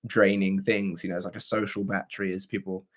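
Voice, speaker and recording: A hoarse young man with a scratchy, throaty voice, hosting a livestream and chatting casually with viewers. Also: low-pitched, little pitch movement, fairly quick. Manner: chatty and rambling, with frequent fillers and throat clearing. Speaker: livestream host. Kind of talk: casual livestream chat answering viewer comments.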